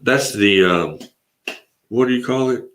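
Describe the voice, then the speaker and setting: A man's voice: medium pitch, unhurried, fairly bright tone. A congested man hosting a casual live stream, talking in a rambling, laid-back way with lots of fillers. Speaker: livestream host. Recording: roomy echo.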